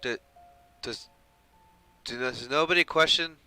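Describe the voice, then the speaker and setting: A man's voice: medium pitch, takes it slowly, bright, forceful tone, faint music behind. A man giving casual live gameplay commentary as a streamer, slangy and given to swearing and mimicry. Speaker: game streamer.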